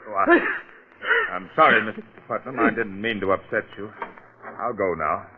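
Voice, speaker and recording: An actor playing a calm, deliberate, commanding police detective in a 1940s radio drama. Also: male voice, fairly low pitch. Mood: polite, probing. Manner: polite, deliberate, authoritative. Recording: dull, lo-fi 1940s radio recording.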